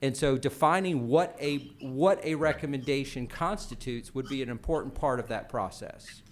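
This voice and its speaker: A man with a mid-range voice talks through policy in a formal meeting. He is measured and bureaucratic, often restarting his phrases as he works out his wording. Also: mid-range voice, a little hiss or hum. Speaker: official presenting a policy at a commission meeting. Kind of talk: formal meeting discussion, unscripted.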